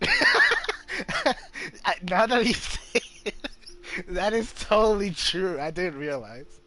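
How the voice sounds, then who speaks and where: Guy commentating a game, slow n hesitant, bright slightly high voice, bit of background noise. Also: huge pitch swings. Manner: rambling, hesitant, amused. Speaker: gamer, video host. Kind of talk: casual gameplay commentary.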